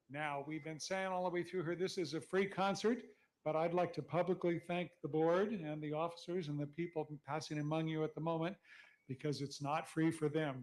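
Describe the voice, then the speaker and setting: A man's voice: on the high side, rough recording. A man from California talking to an audience from the stage as conductor of a concert, in a conversational way with small restarts. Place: outdoor concert.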